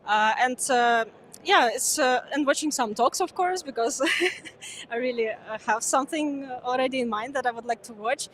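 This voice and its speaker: A woman's voice: high voice, moderate pace, a bit bright. A young woman whose first language is Ukrainian, talking casually and hesitantly in a conference interview, with many fillers. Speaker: conference guest, former speaker. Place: busy conference venue.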